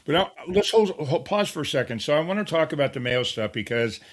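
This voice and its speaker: A man's voice: a little high, expressive, a little fast. A middle-aged man hosting a podcast, talking in an informal, chatty way as he interviews guests. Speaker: podcast host. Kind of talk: casual conversational interviewing.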